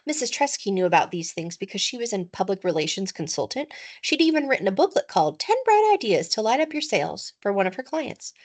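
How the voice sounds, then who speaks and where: A woman's voice: mid-range voice, sing-song, fast, few pauses. A woman reading a children's book aloud expressively, like a teacher to pupils.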